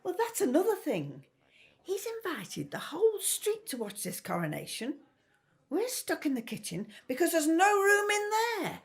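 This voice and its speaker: A woman's voice: extremely high pitch, big pitch swings, moderate pace, full character voice. An actress playing a fretful, grumbling middle-aged working-class mother in a 1950s family audio drama. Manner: grumbling, worrying.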